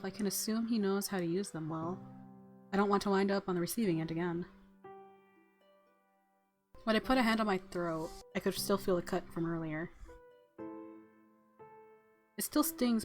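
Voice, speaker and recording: A woman let's player doing casual gameplay commentary and reading game narration aloud. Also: mid-range voice. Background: faint game music.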